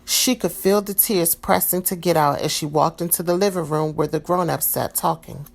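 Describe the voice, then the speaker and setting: Woman storyteller, low gravelly voice, calm expressive read-aloud for kids. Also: normal speed. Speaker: storybook reader.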